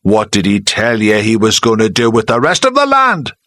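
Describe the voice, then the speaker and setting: Male narrator doing a sulky, sneering village mechanic playing to the crowd, lively, dry sound. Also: lowish voice, moderate pace, no echo. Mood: sneering, taunting. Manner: mocking, loud, taunting.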